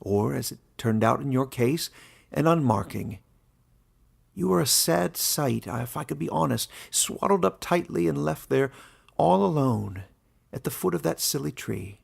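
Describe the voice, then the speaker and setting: A man plays an elderly fantasy warden with a very creaky, husky voice. He is kindly and quietly playful, yet speaks with ceremonious, mysterious authority, pitched up and measured like a storybook elder. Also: expressive, heavily acted. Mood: grave, measured.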